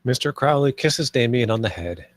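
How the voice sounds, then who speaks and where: A man's voice: mid-range voice, animated, normal speed, no echo, studio quality. A man reading out stage directions and scene headings as narrator at a film table read.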